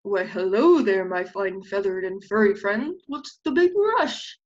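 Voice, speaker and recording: A woman's voice: medium pitch, sing-song, a little slow, muffled recording. A woman voicing a sly, scheming male coyote villain from a storybook, falsely polite and snarling. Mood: falsely friendly. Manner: smooth-talking, snarling.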